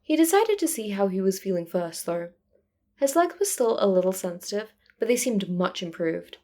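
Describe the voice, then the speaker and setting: A woman narrates prose fiction in a medium pitch. Her delivery is scripted storytelling, following a character's thoughts as an audiobook reader would. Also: big pitch swings, moderate pace, warm tone.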